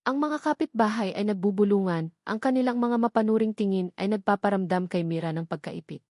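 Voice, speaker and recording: A woman's voice: medium pitch, a little fast, darkish tone, dry recording, studio quality. A woman reading scripted, dramatic love-story narration as a storyteller.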